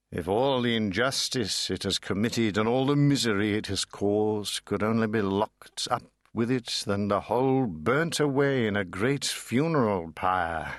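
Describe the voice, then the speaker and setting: A man's voice: fairly low pitch, a bit bright, dry recording, studio quality. A man reading classic literature dramatically, theatrical and precise. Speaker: audiobook narrator. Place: dry studio.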